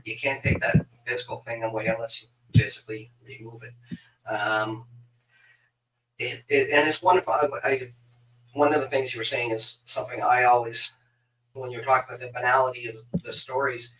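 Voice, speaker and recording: A middle-aged man hosting a casual interview over a video call, talking in a halting way and repeating words as he goes. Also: medium pitch. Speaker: podcast host. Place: small home office on a video call. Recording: bright, roomy, distant mic with hiss.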